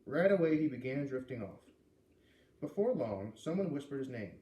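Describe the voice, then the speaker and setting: A man reading a children's novel aloud in an expressive storytelling style. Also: mid-range voice, normal speed. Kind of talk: read-aloud storytelling with acted dialogue. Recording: roomy, distant mic with light noise.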